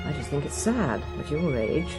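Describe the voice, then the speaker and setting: An actress playing a wry, concerned middle-aged British mother in a radio drama, dry, questioning and gently disapproving. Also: sits low, very animated, normal speed, over music. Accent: British English.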